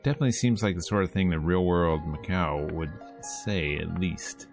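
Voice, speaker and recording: A man's voice: deep voice, moderate pace, gravelly, music in the background. A man hosting a let's play, reading visual novel text aloud with playful, casual asides. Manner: playful, casual asides between read lines. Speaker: host of a gameplay video series.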